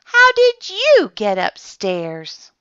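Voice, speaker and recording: A woman's voice: on the high side, wildly swooping pitch, very slow, pushed and bright, dry recording, muffled recording, exaggerated voice. A woman voicing a puzzled male night watchman in a children's storybook, exclaiming and questioning. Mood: surprised. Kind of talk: storybook character voice.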